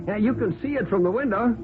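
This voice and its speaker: A man's voice: sits high, fairly quick, over loud music, voice pushed up, lightly acted. A man acting an unctuous, self-righteous office colleague in a radio play, falsely chummy and hemming as he goes. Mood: breezily pleased. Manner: hesitant, giggly, oily hemming. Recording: tinny band-limited vintage radio recording.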